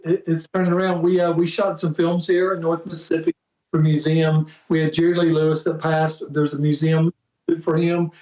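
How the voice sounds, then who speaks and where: A man guesting on a podcast tells his story in a rambling, eager way, with his voice a touch higher than most men's. He sounds far from the mic in an echoey room, and the audio is dull and muffled. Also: a little mellow. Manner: rambling, enthusiastic, restarts a lot. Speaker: podcast guest, music promoter. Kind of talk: casual interview chat. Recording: distant, roomy, muffled remote audio.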